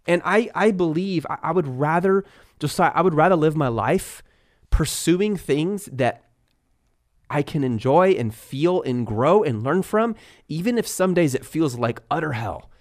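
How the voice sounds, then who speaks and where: A middle-aged man co-hosting a casual motivational talk, emphatic and animated as he tells stories. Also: on the high side, measured pace. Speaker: podcast co-host, business owner.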